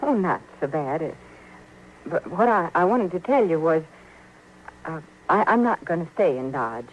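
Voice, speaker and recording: An actress playing a sad, guarded frontier woman with halting American speech, in old-time radio western style. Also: on the low side, animated. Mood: sad, hesitant. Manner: halting, stammering, reticent. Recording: muffled, hissy old radio recording.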